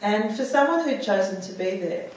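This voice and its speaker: A woman's voice: medium pitch, lively intonation, moderate pace, a little mellow, slight background noise. Woman speaking hesitantly in an echoey hall. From United States. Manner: hesitant, many fillers and restarts. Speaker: panel speaker. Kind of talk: personal testimony at a public talk. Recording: echoey hall, mic far off.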